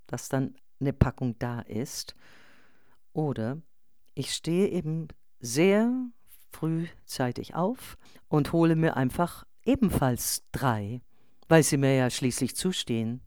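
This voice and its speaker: A performer reading a personal letter as a frank, exasperated social media poster, emphatic and colloquial. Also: female voice, rumbling low, lively intonation, slow pace, mellow, clear, resonant tone, no echo, studio quality, heavily acted. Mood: indignant. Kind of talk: read-out personal letter in a radio collage.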